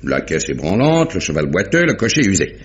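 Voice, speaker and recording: An actor playing a genial, methodical police inspector, recapping deliberately in a theatrical mid-century French radio mystery manner. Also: male voice, deep voice, wildly swooping pitch. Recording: dull vintage radio drama recording.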